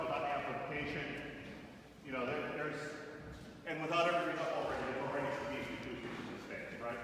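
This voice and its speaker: A middle-aged man, a school superintendent, giving a casual spoken tour of a new building, loud and full of fillers and restarts. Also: a little high, noisy background. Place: large echoey auditorium under construction. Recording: echoey hall from far off.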